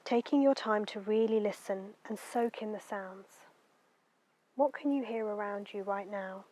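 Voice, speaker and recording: Woman, mid-range pitch, meditation guide, soothing, unhurried, gentle instructions, softly trailing phrases.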